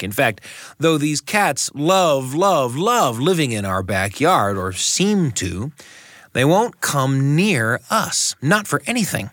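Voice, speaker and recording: A middle-aged American man hosting a radio show and telling personal stories in measured, deliberate phrasing. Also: mid-range voice, a bit bright, no echo, studio quality. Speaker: radio host and storyteller. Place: dry radio studio.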